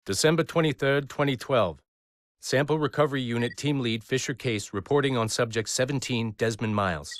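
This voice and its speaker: A man playing a formal, self-satisfied recovery team leader dictating a measured, clinical report for a video game audio log. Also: medium pitch, close to an everyday voice. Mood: clinical, matter-of-fact.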